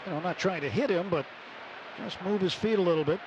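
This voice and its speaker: A man's voice: sits high, highs cut off, background noise. A man doing live radio play-by-play of baseball as an announcer, in the manner of a broadcast from the ballpark. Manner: measured between plays, excited on big plays. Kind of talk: live radio sports commentary. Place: stadium broadcast booth.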